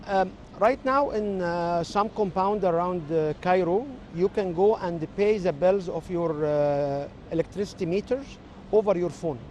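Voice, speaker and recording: A man with an Egyptian accent and native Arabic, giving measured, explanatory interview answers as a technology expert. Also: high voice, a little mellow, slight background noise.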